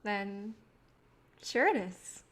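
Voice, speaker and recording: A woman's voice: mid-range voice, lively intonation, drawn-out words, fairly bright tone. A woman playing a guarded, idealistic filmmaker, wry and self-deprecating, in a naturalistic staged play reading. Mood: flirtatious, guarded.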